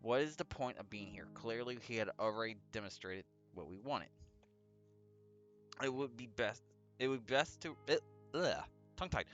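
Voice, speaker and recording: A man's voice: medium pitch, lively intonation, moderate pace, long pauses, a bit bright, dull audio. A man hosting a let's play, reading a visual novel aloud with casual commentary, sometimes stumbling and correcting himself, with music behind him. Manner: stumbles over read text and corrects himself. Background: background music.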